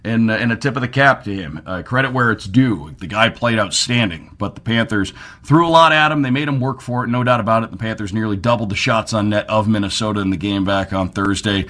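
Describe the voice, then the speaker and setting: A man's voice: lowish voice, a little fast, a bit bright. Chatty man hosting a sports radio show. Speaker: sports radio show host and team broadcaster.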